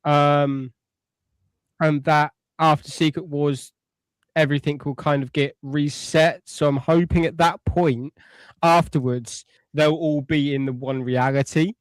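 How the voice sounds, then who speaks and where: Male co-host, fairly high register, close dry sound, earnest explainer in relaxed podcast discussion. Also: a little slow, no room sound. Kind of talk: casual opinionated chat.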